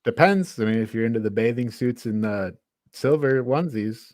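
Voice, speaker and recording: A man hosting a casual film review discussion, thinking aloud in an informal, halting way. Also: medium pitch, expressive, a little mellow, no echo, clean studio sound. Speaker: podcast host.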